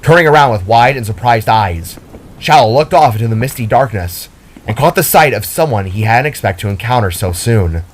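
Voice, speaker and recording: A man's voice: mid-range voice, lively intonation, fairly quick. A man reading scripted fiction as a dramatic story narrator.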